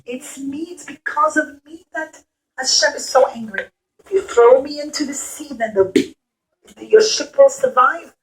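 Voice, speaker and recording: Earnest, self-sacrificing puppet-show prophet, played by a woman, high-pitched, sincere delivery for children. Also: measured pace, low-quality recording. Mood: earnest, resigned.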